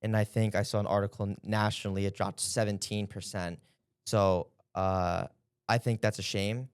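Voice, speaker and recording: A man's voice: lowish voice, flat delivery, normal speed, smooth, no room sound, studio quality. A young man answering interview questions in an earnest, hesitant way with many fillers. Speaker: interview guest, former intern.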